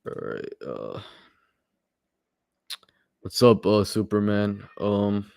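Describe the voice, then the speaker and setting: A man with a low voice hosts a casual live stream. He has a minor stutter and often repeats words and pauses on fillers. The sound is dry and close, with no room echo. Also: sing-song, gravelly, a little mellow. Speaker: stream host. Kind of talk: casual livestream commentary.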